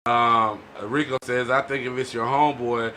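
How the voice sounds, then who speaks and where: Bright-voiced American man, slangy host. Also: medium pitch, flat delivery, normal speed. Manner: slangy, conversational. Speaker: podcast host reading out listener comments. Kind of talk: casual podcast chat. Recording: noisy background.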